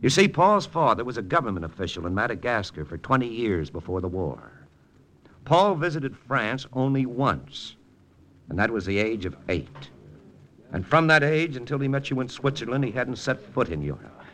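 A man's voice: mid-range voice, big pitch swings, normal speed, fairly bright tone, slight background noise. An actor playing a cool, persuasive American wartime lieutenant with an American accent, telling his story in hardboiled 1940s radio drama style. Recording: old radio broadcast with music.

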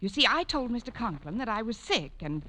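An actress playing a wry, brisk woman schoolteacher in a confiding manner, in vintage radio comedy style. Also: on the high side, sing-song, bright, forceful tone. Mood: conspiratorial, brisk. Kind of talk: 1940s-50s radio comedy acting. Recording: old radio recording, faint studio audience.

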